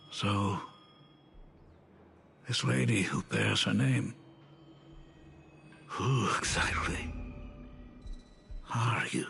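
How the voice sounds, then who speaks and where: An actor playing a deliberate, probing man of quiet authority in a video game, speaking haltingly in a gravelly voice. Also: fairly low pitch, a little hiss or hum, full character voice.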